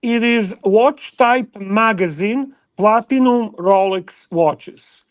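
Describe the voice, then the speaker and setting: A man with a Serbian accent chatting casually about watches on a livestream, halting and restarting often. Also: high-pitched, no room sound. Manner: halting, lots of restarts and filler.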